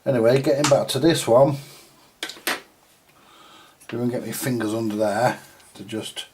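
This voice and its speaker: Slow-talking man muttering at a workbench. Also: mid-range voice, background noise. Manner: muttering to himself, halting, mildly sweary. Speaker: repairer presenting a hands-on video. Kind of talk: casual thinking-aloud workbench commentary. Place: indoor repair workbench.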